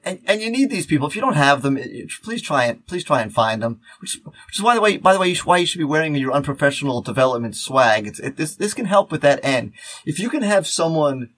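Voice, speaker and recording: A man, a teacher, co-hosting a podcast in a casual, rambling and halting way. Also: a little high, sing-song, fairly bright tone. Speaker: podcast co-host.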